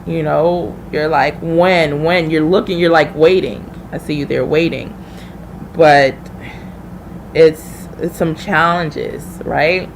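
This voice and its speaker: A woman's voice: deep voice, steady tone, slow pace, slight background noise, rough recording. A woman hosting a live tarot reading, chatting informally and familiarly with viewers as she thinks aloud. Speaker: tarot reader hosting a livestream.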